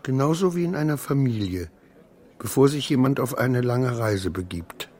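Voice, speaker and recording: An actor playing an anxious, paranoid clerk losing his mind in a radio play, halting and often dropping to a whisper. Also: medium pitch, expressive, darkish tone, lightly acted. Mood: uneasy wonder. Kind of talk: literary radio play acting.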